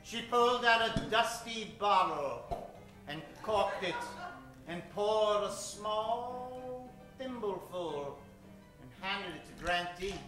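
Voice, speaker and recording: A man's voice: high-pitched, expressive, takes it slowly. A man narrating and announcing in a theatrical, melodramatic old-time radio style. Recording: echoey stage, music behind.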